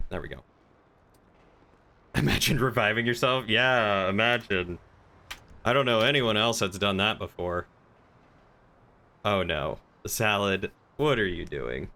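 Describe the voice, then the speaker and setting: A man with a smooth, clear voice on the lower side. He sounds bright and crisp as he chats through a game stream, improvising roleplay with polite hesitation. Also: slow pace, long pauses.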